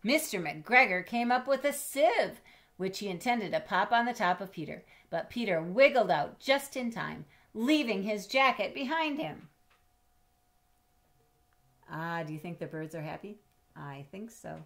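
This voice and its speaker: A middle-aged woman reading a picture book aloud to children, expressively, pausing to explain and ask questions in a teacherly way. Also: medium pitch, sing-song, a bit bright. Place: small indoor home room with bookshelves.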